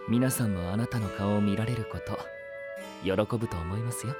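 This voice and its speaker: A man's voice: on the low side, normal speed, music in the background, lightly acted. A male actor playing a serene, elegantly polite young knight with a lightly teasing composure in an anime audio drama. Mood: composed, knowing.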